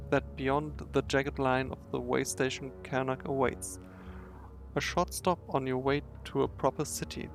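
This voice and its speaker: Male gamer-narrator, mid-pitched with vocal fry, story read-aloud and commentary over music. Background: faint music.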